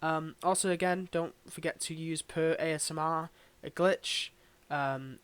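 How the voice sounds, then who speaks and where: A man's voice: a little high, steady tone, measured pace, fairly bright tone, clear, resonant tone, no room sound. A man giving a casual, unscripted update to his listeners as channel host, in a subdued, hesitant, rambling way. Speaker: ASMR voice performer and channel host.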